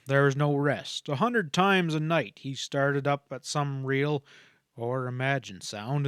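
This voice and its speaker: A man's voice: medium pitch, a bit bright, clean tone, dry recording, studio quality. A man reading a Western novel aloud as scripted audiobook narration.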